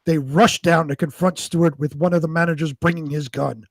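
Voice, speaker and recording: A man with a fairly high voice narrates as co-host of a live show. His delivery is unpolished and somewhat halting, and the sound is very dry with no room to it. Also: fairly even intonation, no echo. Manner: halting, repeats words when ad-libbing. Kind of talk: amateur scripted narration and casual chat.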